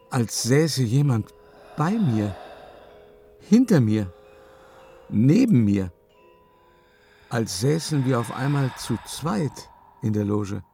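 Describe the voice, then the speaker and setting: A male actor playing an uneasy, haughty opera-goer who recounts events as a measured, suspenseful first-person radio drama narration. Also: mid-range voice, creaky, a little mellow, a little hiss or hum.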